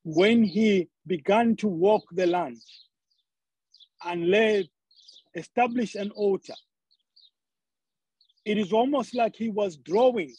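A man's voice: high voice, takes it slowly, pauses often, darkish tone, husky, no room sound, rough recording. A man from Zambia preaching a sermon, often repeating words as he searches for the next phrase.

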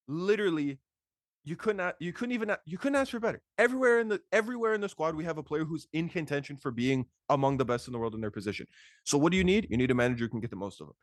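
A young man chatting casually about football as a podcast host, excitable and slangy with emphatic repetition. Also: on the high side, racing, dry recording, studio quality. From Toronto, Canada. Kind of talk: casual sports chat.

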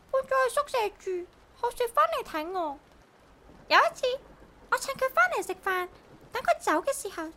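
A woman playing a chatty, bossy, proud talking parrot in a radio drama, with a shrill, squawky voice and a blunt, childlike manner. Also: fairly bright tone, exaggerated voice. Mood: wistful. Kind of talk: Cantonese radio drama acting.

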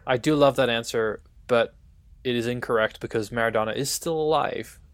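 A man with a noticeably creaky, gravelly edge to an average-pitched voice. He talks casually as a podcast co-host, posing football questions in a conversational way. The sound is close and dry. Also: moderate pace, no room sound. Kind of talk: casual football quiz chat.

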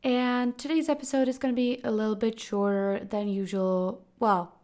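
A young woman in her late teens talks through a solo podcast episode. Her voice is raspy and hoarse from a cold. She rambles casually, with plenty of fillers. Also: on the high side, fairly even intonation, normal speed, darkish tone. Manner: chatty, loose, full of "like" and "kinda". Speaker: podcast host.

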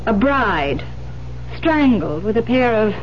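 An actress playing a persuasive female police detective in a 1970s radio drama, urgent yet controlled and deliberate. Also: fairly high pitch, big pitch swings. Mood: grave. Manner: insistent, deliberate. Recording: dull, hissy 1970s radio recording.

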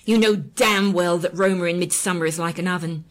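A spiteful widow spits out curses and snarls, as a woman puts her on. Her voice sits a little low, and the scorn stays close to the narrator's own sound. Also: steady tone, slow pace, sharp tone, husky, heavily acted. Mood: scornful. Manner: snarling, cursing. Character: bitter, spiteful widow, middle-aged woman.

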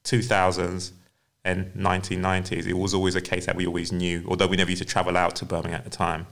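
A man's voice: deep voice, completely flat delivery, quick pace, a bit bright. A male podcast host who reads a scripted introduction, then asks casual questions, often repeating words and saying "fair enough".

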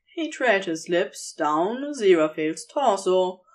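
A woman reading intimate fiction as a narrator, in a measured, expressive storytelling manner. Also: mid-range voice. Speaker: audiobook-style podfic narrator.

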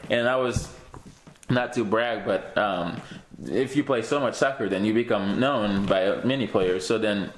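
A man's voice: lowish voice, a little slow, a little hiss or hum. Young man, from Haiti, casual guest chat, filler-heavy, laughs a lot, roomy echo. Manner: easygoing, laughs often, many fillers.

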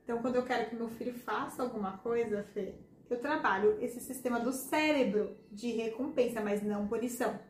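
A hoarse woman hosting a casual podcast conversation, speaking earnestly and addressing listeners directly. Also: on the high side, fairly quick, hardly any pauses. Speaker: podcast host and hypnotherapist. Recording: echoey room with faint background noise.

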